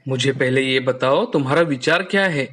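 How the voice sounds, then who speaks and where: A man's voice: mid-range voice, moderate pace, a bit bright, natural voice. A man playing a friendly, hardworking father who drives a rickshaw in a storybook cartoon. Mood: thoughtful. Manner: polite, earnest.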